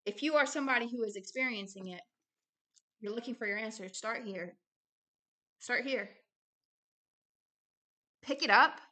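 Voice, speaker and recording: High, bright-voiced woman teaching earnestly. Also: moderate pace, pauses often. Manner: earnest, insistent, repeats phrases for emphasis. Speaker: host and religious teacher. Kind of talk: informal religious commentary, reading aloud with personal asides.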